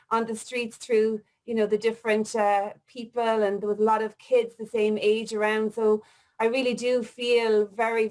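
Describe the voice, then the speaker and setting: Female interview guest, forties, Limerick Irish accent, fairly high voice, casual personal storytelling. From Limerick, Ireland. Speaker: interview guest, wellness coach.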